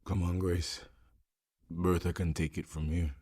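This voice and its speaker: An actor playing a suave, gallant Cuban-born man in a radio drama, speaking gently and intimately as he teases and woos. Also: sits low, little pitch movement, measured pace. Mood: gentle teasing. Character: suave Cuban-born man, successful performer, tender and teasing suitor. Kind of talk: comedic radio drama acting.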